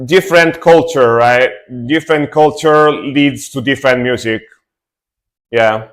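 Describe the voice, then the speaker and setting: A young adult man casually chatting with his stream chat while reacting to metal music, relaxed and talkative. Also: medium pitch, takes it slowly, pauses often. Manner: relaxed, chatty, answers chat, fillers and restarts.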